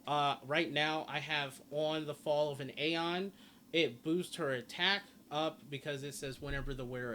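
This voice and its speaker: A man's voice: fairly high pitch, sharp tone. A man giving a casual video game guide, explaining and reading out stats as he goes. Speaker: gaming guide creator. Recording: clear background noise, game ambience. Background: game sounds and ambience.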